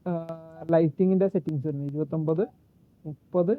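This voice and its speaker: A man giving a casual, unscripted gadget walkthrough in a halting way, searching for words and correcting himself. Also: fairly high pitch, steady tone, no echo. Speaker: gadget reviewer and demonstrator. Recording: muffled phone-like narrow-band sound.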